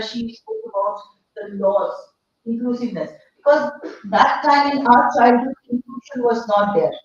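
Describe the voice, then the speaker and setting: Hoarse Indian woman in echoey hall. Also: on the high side. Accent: Indian English. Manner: animated, questioning the audience. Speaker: speaker addressing teachers. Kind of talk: interactive conference talk. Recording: echoey hall from far off, dull.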